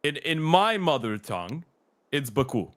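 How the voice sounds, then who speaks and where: A man casting an esports tournament, filling a delay with relaxed, easygoing chat. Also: mid-range voice, moderate pace, no room sound, studio quality. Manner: relaxed, easygoing, lots of fillers. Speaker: esports caster. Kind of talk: filling airtime with casual chat.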